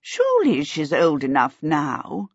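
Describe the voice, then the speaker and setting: A woman's voice: sits low, wildly swooping pitch, a little slow, slightly rough, no echo, dull audio, heavily acted. A woman voicing a bossy, rich older aunt who gives commands in an audiobook. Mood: imperious. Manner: commanding, decreeing. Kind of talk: audiobook character voice.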